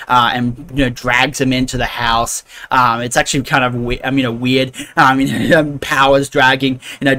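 A man's voice: medium pitch, a bit bright. A man recapping an anime episode in a casual, rambling way, full of fillers and restarts. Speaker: anime reviewer.

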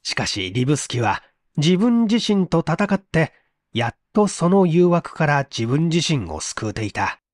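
A man reading a literary mystery story aloud in an expressive, theatrical storytelling manner. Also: a little high, unhurried, no echo, studio quality. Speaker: reader of audio stories.

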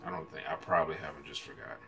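A man's voice: a bass voice, wildly swooping pitch, rapid-fire, gravelly, pushed and bright. An American man in his thirties giving casual, slangy reaction commentary in a rambling, hesitant way, recorded in a room at home. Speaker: reaction video host. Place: small room at home, indoors.

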